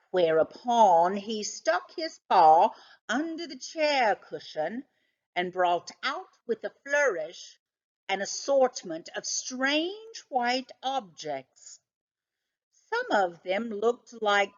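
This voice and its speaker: A woman reading a children's book aloud as an expressive storyteller, with dramatic, deliberate phrasing. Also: a little high, highs cut off.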